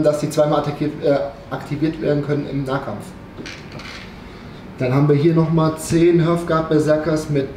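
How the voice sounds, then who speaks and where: A man in his thirties co-hosting, welcoming viewers and briskly reading out an army list. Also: on the high side, warm tone. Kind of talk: casual chat and listing game units. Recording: echoey room with faint hiss.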